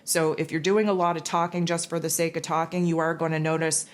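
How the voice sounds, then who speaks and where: Hoarse low-voiced woman. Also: flat delivery, fast talker. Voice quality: raspy. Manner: rambling, loose, with many fillers and restarts. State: hoarse from losing her voice. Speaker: spiritual forecast host. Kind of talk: casual spiritual monologue.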